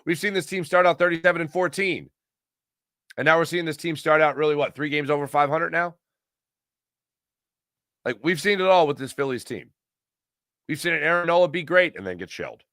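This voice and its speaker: A man's voice: on the high side, a little fast, long pauses, fairly bright tone, no room sound, clean studio sound. An American man hosting a sports talk livestream, chatting casually and emphatically, often repeating phrases to make his point. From Pennsylvania, United States. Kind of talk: casual sports commentary and chat with viewers.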